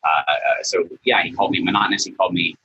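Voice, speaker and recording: A man speaking as an interview guest in a monotone. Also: sits low, quick pace, slightly rough, muffled recording. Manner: monotone, forceful. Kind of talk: interview conversation.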